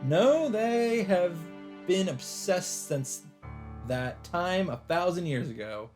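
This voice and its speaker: A man reading a visual novel aloud as a let's player, earnest and expressive, mixing dramatic narration with casual commentary. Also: sits high, slow. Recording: background music under the voice. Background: game music.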